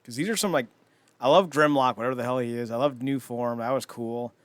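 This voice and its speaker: A man's voice: mid-range voice, brisk, dry recording. A man co-hosting a podcast in casual chat, informal and slangy, with short, simple opinions. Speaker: podcast co-host.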